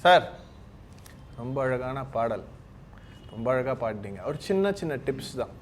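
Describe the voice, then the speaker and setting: A man's voice: medium pitch, very animated, normal speed. A man giving encouraging, informal feedback as a singing judge, mixing in English words. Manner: encouraging, gives gentle tips; mixes English words into Tamil. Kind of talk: informal spoken feedback to contestants. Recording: clearly noisy room ambience.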